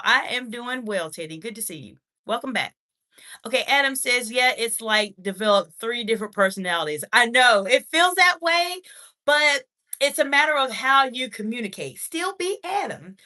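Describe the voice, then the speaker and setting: A woman's voice: medium pitch, sharp tone. A woman executive communication coach from the United States, talking on a livestream in a formal, encouraging way and greeting viewers by name as she reads their comments. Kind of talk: livestream talk, reading chat comments.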